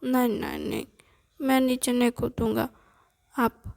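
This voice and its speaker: A woman voicing a small boy who whines and pleads loudly. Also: sits high, slow pace, vocal fry, slightly rough, exaggerated voice. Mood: pleading. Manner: pleading, raised and whiny.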